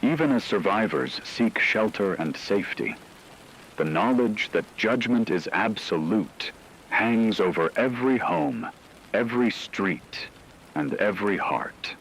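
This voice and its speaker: A man's voice: on the low side, lively intonation, a little slow, vocal fry, fairly bright tone, slight background noise, full character voice, low-quality recording. A man playing a grave radio news reporter, narrating supernatural terror in a solemn, formal, ominous scripted style.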